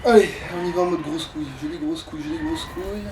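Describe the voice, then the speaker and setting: A man's voice: on the high side, fairly even intonation, fast talker. A man chatting casually while playing a game, grumbling in coarse slang. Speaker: let's play co-host playing the game. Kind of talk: casual gaming chat. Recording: distant mic with faint noise.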